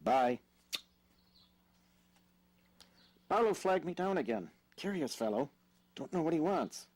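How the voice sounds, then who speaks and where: An actor playing an earnest, polite young husband in an audio drama, slightly formal and easily exasperated. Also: male voice, a little high, big pitch swings, moderate pace, darkish tone. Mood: light, affable. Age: young adult.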